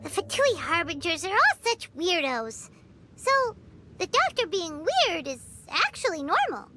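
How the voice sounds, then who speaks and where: A woman voicing a tiny, chatty, blunt childlike girl companion in a fantasy game, with a squeaky, exclamatory delivery. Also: big pitch swings, a little slow, noisy background, full character voice. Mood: scornful, matter-of-fact. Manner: exclamatory, refers to self in third person. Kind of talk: animated fantasy game dialogue. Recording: music behind the voice. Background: loud game music.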